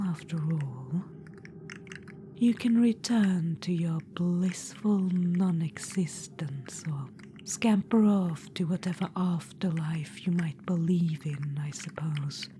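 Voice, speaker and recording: A woman's voice: fairly low pitch, slight background noise. A woman voicing a scheming, ancient undead witch-queen spirit in a whispered ASMR audio roleplay, silky and condescending, calling the listener darling. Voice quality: soft unvoiced whisper.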